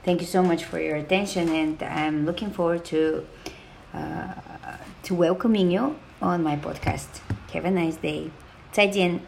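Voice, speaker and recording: A woman in her thirties with a Bulgarian accent speaks slowly and unhurriedly, her voice carrying a gravelly fry. She hosts a podcast for learners of Chinese, talking earnestly and hesitantly, full of ums and restarts. A faint noise sits under her voice. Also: fairly low pitch, fairly even intonation, darkish tone. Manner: hesitant, pauses often with fillers and restarts; earnest and enthusiastic. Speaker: Chinese language podcast host. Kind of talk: self-introduction to listeners.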